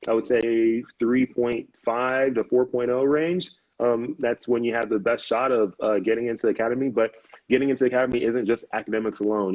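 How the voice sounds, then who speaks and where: A man's voice: medium pitch, darkish tone, no room sound. A man in his thirties, a Navy officer guest on a live interview, talking easily with many fillers and restarts. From United States. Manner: easygoing, laughs often, many "um"s and restarts. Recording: dull, lo-fi video call audio.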